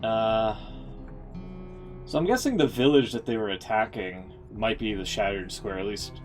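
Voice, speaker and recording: Man, fairly low voice, casual streamer commentary, rambling, casually profane, prominent music behind. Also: lively intonation, unhurried. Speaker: game streamer reacting to lore and cutscenes.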